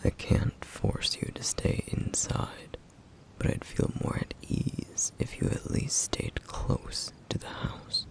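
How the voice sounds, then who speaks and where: A man playing a brooding, protective flame demon in an ASMR roleplay, speaking in a grave, breathy, gravelly near-whisper with deliberate pauses. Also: natural voice. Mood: concerned, weary. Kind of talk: ASMR roleplay, intimate scripted monologue.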